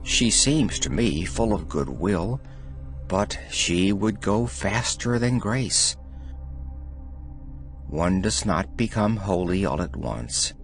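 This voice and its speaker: A man reading devotional letters aloud as an audiobook narrator, in a measured, solemn manner. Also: deep voice, lively intonation, over music. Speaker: audiobook reader.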